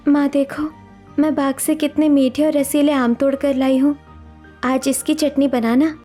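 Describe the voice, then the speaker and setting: A woman voices a spoiled, carefree young village daughter in a family cartoon. She wheedles in a fairly high voice. There is soft music in the background. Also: flat delivery, moderate pace, close to an everyday voice. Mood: carefree, wheedling. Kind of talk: Hindi family cartoon story acting.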